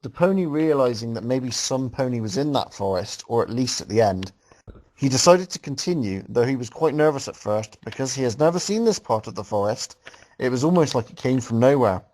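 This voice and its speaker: A man's voice: medium pitch, animated, brisk, darkish tone, poor audio. A man reading a story aloud casually as host, breaking off to make joking, mocking comments. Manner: breaks off to mock the writing.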